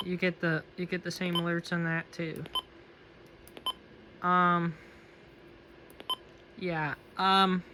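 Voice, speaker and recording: A man's voice: high-pitched, bright, forceful tone. A man giving a casual, unscripted product review and demonstration. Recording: faint background noise.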